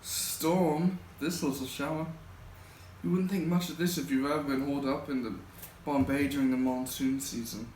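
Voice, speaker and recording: A man's voice: mid-range voice, fairly even intonation, moderate pace, a little mellow, lightly acted. Young man as wary old soldier, echoey. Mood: offhand. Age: young adult. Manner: reluctant, warning. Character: weathered, wary middle-aged army sergeant major. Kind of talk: amateur high school stage acting. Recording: echoey room, faint noise.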